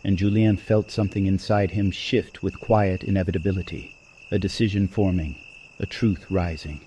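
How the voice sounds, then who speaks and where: A man narrating a scripted dramatic story in a measured, hushed, solemn manner. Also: deep voice, steady tone, slight background noise.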